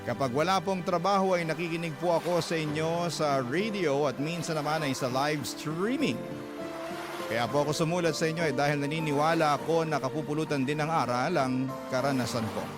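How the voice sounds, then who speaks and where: A male radio host reads a listener's letter aloud in scripted storytelling narration. His voice sits slightly high and he speaks straight to his listeners. A clear music bed runs underneath.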